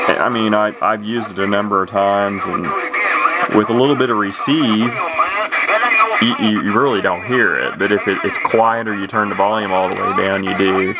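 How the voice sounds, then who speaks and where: A man with a low voice and a creaky, fry-laden edge walks through a product casually and without a script. He is matter-of-fact and tends to ramble. The recording is very noisy, with hiss behind him. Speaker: radio collector giving a product demonstration. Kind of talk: casual unscripted product walkthrough.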